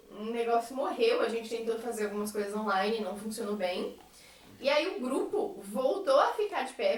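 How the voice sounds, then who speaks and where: A woman from a theatre group chatting casually on a podcast. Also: on the high side. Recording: echoey room, distant mic.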